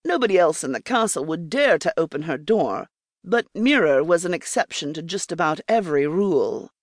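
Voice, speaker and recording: A woman's voice: lowish voice, expressive, normal speed, no echo, clean studio sound. A woman narrating a scripted fiction audiobook.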